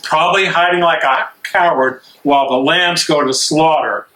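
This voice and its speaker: A man's voice: a little high, normal speed, bright, forceful tone, poor audio. A man acting an angry, bitter imprisoned dissident in an amateur stage reading, agitated, challenging and insistent.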